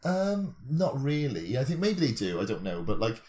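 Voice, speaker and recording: A man's voice: mid-range voice, very animated, moderate pace, a little mellow, slight background noise. A man co-hosting a podcast, thinking aloud in a rambling, hesitant, dryly joking way. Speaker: podcast co-host. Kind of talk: casual chat, speculative musing.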